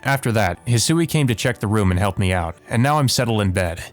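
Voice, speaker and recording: A man's voice: fairly low pitch, animated, brisk. A man giving casual commentary and reading visual novel text aloud as a let's play host, with game music behind him. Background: video game music.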